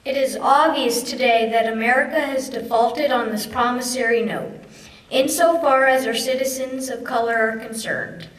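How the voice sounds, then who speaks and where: A woman's voice: on the high side, moderate pace, fairly bright tone. A young woman, a student, reading a historic speech aloud in a dramatic style. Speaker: student reader. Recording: echoey hall, distant microphone.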